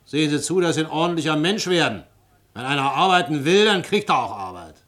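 Short-tempered bureaucrat, 1950s radio play; lecturing, officious, very animated pitch. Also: male voice, fast. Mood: dismissive, lecturing. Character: stern, dismissive police bureaucrat, short-tempered.

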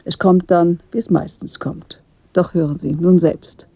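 A radio announcer giving a formal, measured, scripted introduction to a broadcast play. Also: mid-range voice, fairly even intonation, gravelly, slightly rough, no room sound. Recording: dry, slightly dull studio audio.

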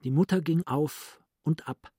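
A man's voice: on the high side, a little slow, mellow, slightly rough, dry recording, clean studio sound. A male actor playing a cultured, introspective psychiatrist in a literary radio play. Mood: haunted recollection. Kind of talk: literary radio drama monologue.

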